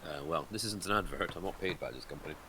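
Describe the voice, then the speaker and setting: A man co-hosting a game stream over a voice call, joking in a dry, deadpan way. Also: low voice, fast talker, background noise. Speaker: stream co-host. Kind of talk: casual chat.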